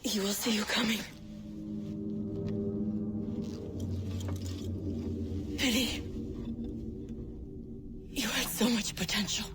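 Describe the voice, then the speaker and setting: A woman's voice: on the high side, fairly even intonation, unhurried, close to an everyday voice. Cool, proud woman assassin played by an actress. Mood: weakened, regretful. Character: cool, proud female assassin mentor, bitterly regretful.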